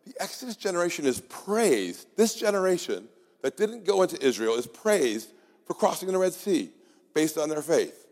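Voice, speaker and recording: A man preaching a sermon in an earnest, exhorting way, his voice gone raspy. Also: medium pitch, darkish tone. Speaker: pastor. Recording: long hall reverb.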